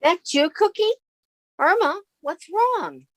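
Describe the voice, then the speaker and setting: Actress as sensible, weary roommate, close and dry. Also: female voice, extremely high pitch, lively intonation, takes it slowly, a bit bright, dry recording, exaggerated voice. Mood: decisive. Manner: motherly, exasperated, wry. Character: sensible, weary, caring young woman roommate. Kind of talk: 1950s radio comedy acting.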